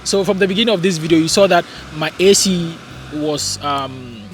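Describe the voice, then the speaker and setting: A young man from Ghana chatting casually in a vlog, animated and full of rhetorical questions and fillers, recording at home. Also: on the high side, moderate pace, faint music behind. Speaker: vlogger. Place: home in hot, humid climate.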